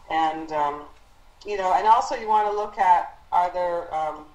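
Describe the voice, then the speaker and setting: A woman leading a team conference call as an instructor, explaining business matters in a halting, encouraging way as she thinks aloud. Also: on the low side. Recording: roomy conference call audio.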